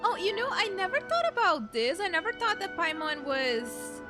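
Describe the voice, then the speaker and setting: A young woman giving casual, rambling and expressive commentary as she plays a video game. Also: very high voice, moderate pace, over music. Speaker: gaming streamer. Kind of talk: casual let's play commentary.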